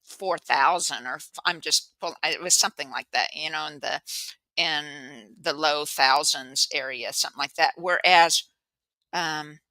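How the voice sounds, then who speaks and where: A woman's voice: sits low, measured pace, sharp tone, dry recording. A woman hosting a podcast over a video call, chatting in an upbeat, rambling way. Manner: upbeat and chatty, heavy on fillers and restarts. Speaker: podcast host. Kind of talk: casual interview chat.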